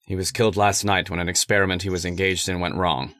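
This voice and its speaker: Man playing low-voiced, stubborn scientist's assistant. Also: fairly even intonation, fast talker, a bit bright, no room sound, studio quality. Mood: assured, soothing. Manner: earnest, articulate, controlling. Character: calm, stubborn, persuasive scientist's assistant devoted to the experiment. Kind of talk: old-time radio horror acting.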